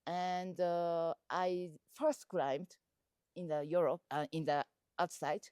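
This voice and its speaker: A woman climber, answering questions slowly and haltingly, with plenty of fillers. Her voice is slightly low and very dry, with no room sound around it. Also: little pitch movement, darkish tone, clean tone, no echo. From Japan. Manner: halting, searching for words, many fillers. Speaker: trekker and mountain climber. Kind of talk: casual interview answers. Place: outdoors on a mountain trek.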